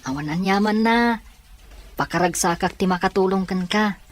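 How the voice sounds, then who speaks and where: A woman's voice: mid-range voice, moderate pace, lightly acted. A woman playing a kind, supportive friend in an audio drama, giving advice in a reassuring way. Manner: reassuring, advising. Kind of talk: Ilocano radio-style audio drama.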